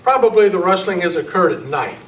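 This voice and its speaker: A man's voice: high-pitched, lively intonation, fairly quick, a bit bright. A man playing a plain-spoken, matter-of-fact campus security chief in an amateur radio script reading. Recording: roomy, distant camera mic, faint noise.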